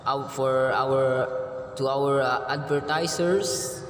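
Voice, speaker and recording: A man with a Filipino accent giving a thank-you speech as a company chief, halting and hesitant with frequent fillers. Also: medium pitch, steady tone, noisy background. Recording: echoey hall with music behind.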